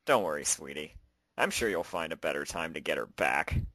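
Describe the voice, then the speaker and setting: A man voicing an easygoing, reassuring male pony companion in a gentle, casual way, in a fan fiction reading. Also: very deep voice, animated, normal speed, no room sound, clean studio sound, heavily acted.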